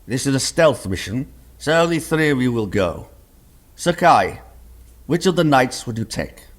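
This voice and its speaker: A man's voice: mid-range voice, very animated, unhurried. An actor playing an impatient, panicky king in a comic radio play, commanding and dramatic. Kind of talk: radio play comedy acting.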